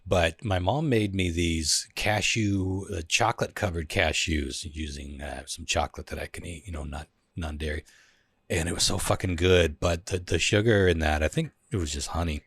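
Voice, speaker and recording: A man's voice: sits low, normal speed, husky, no echo. A man hosting a podcast, chatting casually and swearing freely, from the Pacific Northwest of the US. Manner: relaxed, swearing often. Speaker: podcast host. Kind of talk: casual chat.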